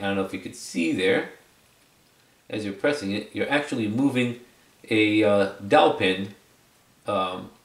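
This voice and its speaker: A man giving a casual, unscripted product demonstration in a conversational, explanatory way, repeating phrases for emphasis. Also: fairly low pitch. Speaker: product reviewer and video presenter. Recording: roomy echo, distant microphone.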